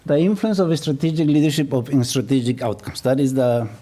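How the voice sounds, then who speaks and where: Middle-aged guy w/ ecuadorian spanish accent, mellow warm voice, lecturing, pokes fun at himself. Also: mid-range voice, fairly even intonation, fairly quick. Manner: self-deprecating, chuckles at own jokes. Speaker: business professor. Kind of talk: public lecture.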